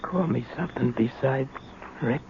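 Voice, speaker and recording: An actor playing a weak, remorseful, dying crook in a radio drama, speaking in terse, halting, rough and uneducated phrases. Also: male voice, medium pitch, natural voice. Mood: weary, dying. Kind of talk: 1970s radio drama acting. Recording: muffled old radio broadcast, faint hiss.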